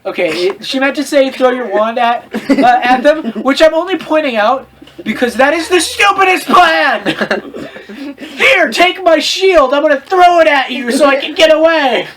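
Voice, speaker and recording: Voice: high-pitched, lively intonation, unhurried, a bit bright. A co-host reading fan fiction aloud in a mocking, casual way, exclaiming and breaking off to comment on the text.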